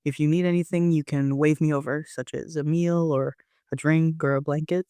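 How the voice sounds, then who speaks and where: A man narrating a first-person story like an amateur audiobook reader, with an even storytelling delivery. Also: a little high, moderate pace, smooth, dry recording, studio quality.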